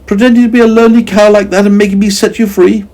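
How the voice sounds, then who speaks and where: A man voicing a foolish, meddling young man who panics easily and speaks in a shrill, excitable voice. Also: moderate pace, slightly rough, exaggerated voice. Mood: alarmed, bossy.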